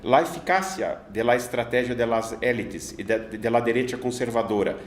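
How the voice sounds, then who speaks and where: A man whose first language is Portuguese, speaking Spanish with a Brazilian Portuguese accent, hesitantly reading an academic conference paper with many pauses and fillers. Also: medium pitch, roomy sound, slight background noise. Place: conference hall.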